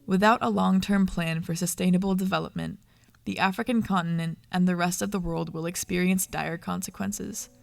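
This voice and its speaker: A documentary narrator delivers scripted lines in a grave, urgent tone. The voice is gravelly and stays flat, with faint music underneath. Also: mid-range voice, normal speed, no room sound.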